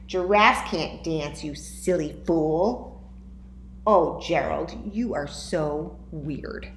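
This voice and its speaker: A woman voicing boisterous, excitable jungle animals, exclaiming loudly in a storybook read-aloud. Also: low voice, extremely slow, exaggerated voice. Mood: mocking. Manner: loud, exclaiming. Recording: echoey room with faint noise.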